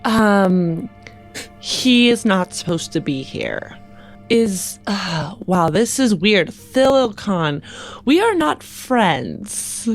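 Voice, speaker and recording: A woman playing a guarded, earnest female starship commander in a tabletop game, speaking in a hesitant, measured way. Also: medium pitch, sing-song, faint music behind, heavily acted. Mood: uneasy, firm.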